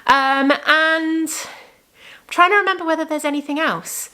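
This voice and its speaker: A woman's voice: high voice, unhurried, pushed and bright. A woman hosting a crafting podcast, chatting enthusiastically and rambling as she shows off her work, her voice slightly worn. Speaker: crafting podcast host and yarn dyer. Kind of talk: casual show-and-tell chat.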